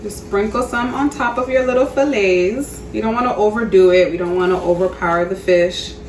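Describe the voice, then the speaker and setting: A woman's voice: mid-range voice, brisk, slight background noise, low-quality recording. A woman hosting a cooking show, narrating a recipe in a chatty, upbeat way with casual slang and frequent laughs.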